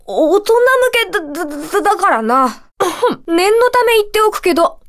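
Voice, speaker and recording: A smug, bookish schoolboy magician from a video game. The voice sits high and moves in big, animated leaps. The delivery is theatrical and pompous, that of a brainy little snob who is easily flustered. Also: female voice. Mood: flustered, indignant. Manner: pompous, theatrical, clearly declaiming. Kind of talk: Japanese video game character voice clips.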